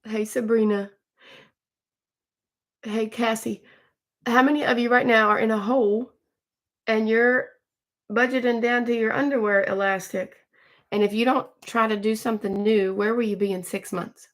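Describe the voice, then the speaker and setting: A woman in her forties hosting a casual live stream from home, chatting with viewers, greeting them by name and often clearing her throat. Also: a little high, fairly even intonation, normal speed, pauses often. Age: 40s. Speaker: livestream host.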